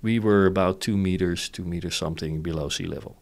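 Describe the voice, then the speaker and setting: A man with a very low voice, noticeably gravelly with fry. He explains things informally as an interview guest, in short bursts, often checking that the listener follows. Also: a little fast. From Netherlands. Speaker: interview guest, company founder. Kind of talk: casual explanatory interview chat.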